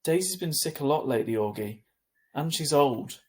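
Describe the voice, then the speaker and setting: A man voicing a gentle, sorrowful mother in a children's novel, speaking softly, tenderly and with some hesitation. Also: on the high side, lively intonation, normal speed, close to an everyday voice. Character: gentle, sorrowful mother breaking sad news. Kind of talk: children's novel read aloud.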